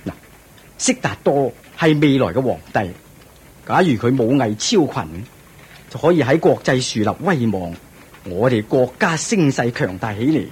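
A man's voice: medium pitch, sing-song, normal speed, darkish tone, husky. A man with a Hong Kong Cantonese accent playing a proud, doting ancient king in a radio drama, speaking in a theatrical, regal way. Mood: concerned, ambitious. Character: proud, doting ancient Indian king, anxious about his heir.

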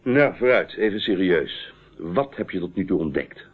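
A man's voice: low voice, lively intonation, moderate pace, breathy edge. A man acting in a radio play as a persistent police inspector, questioning in a businesslike, authoritative way. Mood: stern, insistent. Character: stern, persistent male police inspector interrogating a witness. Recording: muffled, narrow-band old radio recording.

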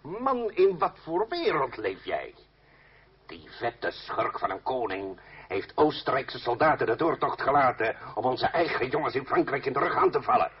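A man's voice: medium pitch, sing-song, normal speed, natural voice. An actor playing a coarse, blustering male revolutionary in a radio drama, bellowing, swearing and mocking. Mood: scornful. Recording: dull noisy old radio recording.

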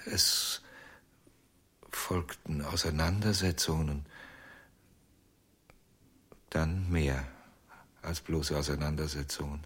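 Radio crime drama: haunted, jealous ventriloquist. Deep, breathy, very slow and halting delivery. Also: male voice, steady tone, fairly bright tone, heavily acted. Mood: halting, tormented. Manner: halting, haunted, breaking into near-whispers. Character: anguished, jealous male ventriloquist confessing to lost love.

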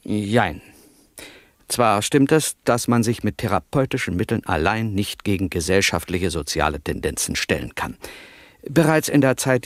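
An actor playing a smug, controlling male psychotherapist in a radio drama, measured, clinical and condescending. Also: low-pitched, dry recording, studio quality.

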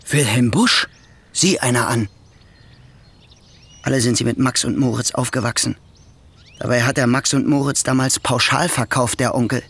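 A man's voice: mid-range voice, breathy edge, no echo. An actor playing a bitter young nephew who is in debt and hates his prospects, talking in a sullen, brooding way in a radio play. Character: bitter young male nephew, indebted and resentful.

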